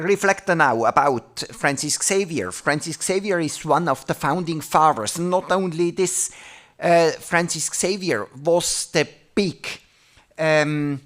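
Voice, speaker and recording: Halting panel chair. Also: mid-range voice, a bit bright. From Switzerland. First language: not English. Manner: halting, searching for words with many pauses. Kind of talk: introducing a conference panel.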